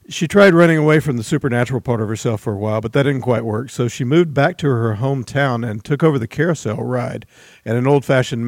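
A man hosting an interview, introducing and asking questions in a somewhat hesitant, halting manner. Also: mid-range voice, dry recording, clean studio sound. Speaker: interview host. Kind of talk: book podcast interview.

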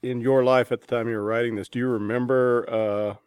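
A man hosting a casual interview, asking questions in a tentative, halting way. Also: medium pitch, level tone, a little mellow, smooth, dry recording, clean studio sound. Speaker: interviewer, host.

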